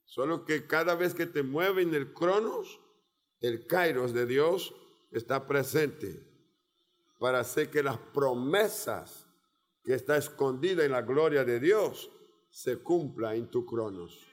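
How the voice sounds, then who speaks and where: A man with a Portuguese accent, a Portuguese native speaker preaching a sermon as a missionary, speaking in slow, deliberate phrases with measured pauses. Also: mid-range voice, expressive. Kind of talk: sermon with personal testimony. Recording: long reverb of a large hall.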